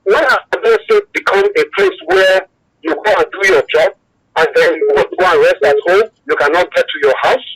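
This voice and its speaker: A man's voice: high voice, expressive, normal speed, fairly bright tone, slightly rough. A Nigerian-accented man calling a radio phone-in, giving impassioned opinions emphatically and repeating his phrases over a phone line. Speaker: phone-in caller, broadcast journalist.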